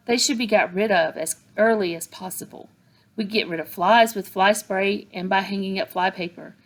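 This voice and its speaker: A woman voicing a huge, cruel headmistress who barks scornful insults, with a gravelly edge. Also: mid-range voice, natural voice. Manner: barking, scornful tirades. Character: huge bullying female headmistress, cruel and bellowing.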